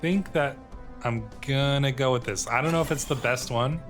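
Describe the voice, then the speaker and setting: A man with a mid-pitched voice hosts a gameplay session in a casual way. He muses aloud as he weighs options and reads on-screen text, with music playing behind him. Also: moderate pace. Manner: musing aloud, tentative. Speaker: video game streamer and host. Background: game music.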